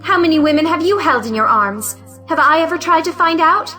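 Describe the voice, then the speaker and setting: Young unmarried heroine, female actor, period audio play: high bright voice, earnest, outspoken, music faint behind. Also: animated, fairly quick. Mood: indignant. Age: young adult. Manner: earnest, plaintive, articulate. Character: defiant, frank young unmarried woman of means. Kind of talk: theatrical audio drama acting of a 1917 play.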